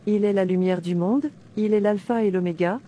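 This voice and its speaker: Casting: actress as fearless believer preaching verses in a cartoon, warm dark tone, medium pitch. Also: female voice, steady tone, moderate pace, slight background noise, natural voice. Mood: reverent. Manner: declamatory, reciting verses with references. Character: devout, fearless captive woman preaching scripture. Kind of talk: scripture recitation in animated drama.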